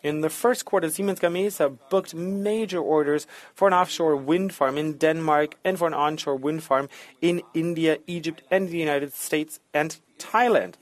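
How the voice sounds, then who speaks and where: A man delivering a formal, scripted business presentation in a measured way, as a corporate executive reporting results. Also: fairly high pitch.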